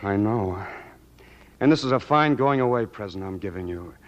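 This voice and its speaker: A man's voice: fairly low pitch, lively intonation, moderate pace, lo-fi sound, close to an everyday voice. A man with an American accent playing an earnest, awkward young suitor in an old radio drama, hesitant and boyish. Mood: sheepish, apologetic. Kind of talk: 1940s radio drama acting. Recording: old radio broadcast with music behind.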